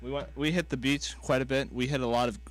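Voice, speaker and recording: A man's voice: mid-range voice, fairly even intonation, quick pace. A young man co-hosting a radio show in casual, conversational talk. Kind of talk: casual chat.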